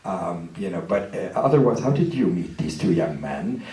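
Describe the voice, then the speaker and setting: A man from Lebanon moderating an audience Q&A with a handheld mic in a hall, soft-spoken and reflective. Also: low voice, animated, normal speed, recorded from a distance. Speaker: interviewer and moderator. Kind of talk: hosting an audience Q&A. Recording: roomy hall taped from afar.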